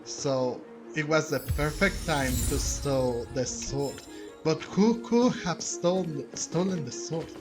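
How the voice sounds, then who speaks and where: Male gaming host: casual, halting commentary, higher-than-average pitch, unhurried, light music underneath. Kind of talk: casual game playthrough commentary. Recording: faint music behind.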